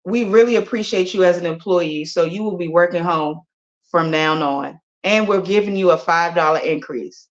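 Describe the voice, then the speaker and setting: A woman's voice: on the low side, moderate pace, pitched below the performer's own voice, lightly acted. A woman voicing a polite male company boss speaking formally on a phone call. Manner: formal, businesslike.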